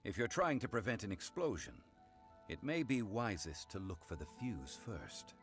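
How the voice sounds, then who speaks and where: Deep, wise, refined gentleman. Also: very animated. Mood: serious, advising. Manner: measured, formal, philosophical. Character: wise, composed, refined gentleman consultant, ancient in temper. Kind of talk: anime game voice acting. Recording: background game music.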